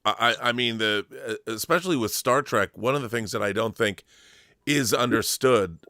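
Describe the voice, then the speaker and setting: A man's voice: mid-range voice, normal speed, sharp tone, no room sound, clean studio sound. A man hosting a casual interview, talking like an enthusiastic fan and making his points emphatically, often restarting as he goes. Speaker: podcast host, interviewer.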